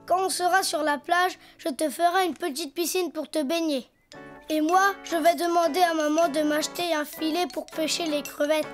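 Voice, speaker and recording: A woman's voice: very high voice, steady tone, moderate pace. A woman playing an eager, imaginative little boy donkey in a French children's cartoon, chirpy, childlike and boastful. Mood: cheerful, busy. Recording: faint music behind.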